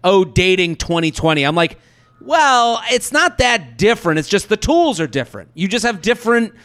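A man in his thirties hosting a podcast, chatting casually with lots of fillers and animated asides. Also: high voice, normal speed. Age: 30s. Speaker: podcast host.

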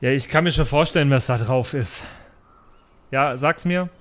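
A man's voice: mid-range voice, normal speed, fairly bright tone, pitched below the performer's own voice, natural voice. A man playing a defiant, accusing superhero in an improvised audio role-play, with a confrontational, sarcastic manner. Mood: sarcastic, bitter. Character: defiant, accusing young superhero Reden, grieving brother.

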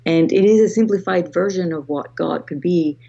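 A woman's voice: on the low side, moderate pace, vocal fry, mellow, husky, muffled recording. A woman from Greece with a Greek accent, a guest author speaking reflectively in a podcast interview, often restarting her phrases.

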